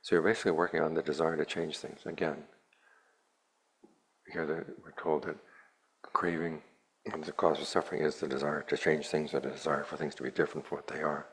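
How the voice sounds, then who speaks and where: A man, a Buddhist monk, giving a plainspoken, measured dharma talk to a retreat group with a dry, wry touch. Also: a bass voice, flat delivery, slightly rough.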